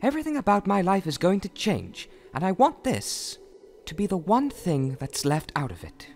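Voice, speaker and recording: A man voicing a polite, conflicted young man in a cartoon parody, speaking formally and haltingly. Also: high voice, huge pitch swings, vocal fry, soft background music, exaggerated voice. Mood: solemn.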